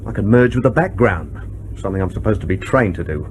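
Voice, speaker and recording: A man acting as a cynical secret agent in a radio play. His voice is on the low side, dry and sardonic, with a grumbling, uneasy edge. Faint noise sits behind him. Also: lively intonation, quick pace, natural voice. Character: cynical, nervy male British intelligence agent.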